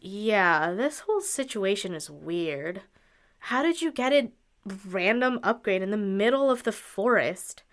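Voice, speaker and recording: A woman's voice: medium pitch, expressive, measured pace. A woman playing a skeptical, sensible traveling musician in a radio drama, questioning and matter-of-fact.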